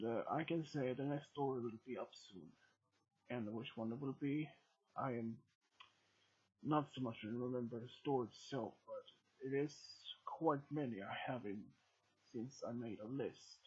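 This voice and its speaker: A man with a Swedish accent and a sore throat, speaking English as a second language in a hoarse, husky voice and giving a halting, casual update to his channel's viewers. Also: mid-range voice, a little mellow. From Sweden. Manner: halting, non-native phrasing with many restarts. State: sore throat and cold, voice deeper than usual. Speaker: YouTube storyteller. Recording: dull lo-fi home recording.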